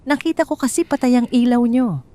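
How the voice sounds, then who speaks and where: Fake-sweet flirtatious neighbor, high bright voice, a woman doing it. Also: brisk, close to an everyday voice. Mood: falsely sweet. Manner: sugary, falsely friendly. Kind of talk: audio drama storytelling.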